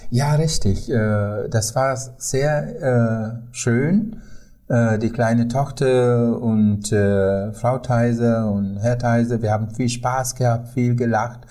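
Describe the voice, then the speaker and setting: A middle-aged or older man with a Sri Lankan accent speaks as a non-native, at a slow pace with frequent hesitations. His voice is soft-edged and mellow, in a conversational storytelling style. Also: medium pitch. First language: not German. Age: 50s or older. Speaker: tour guide, podcast guest.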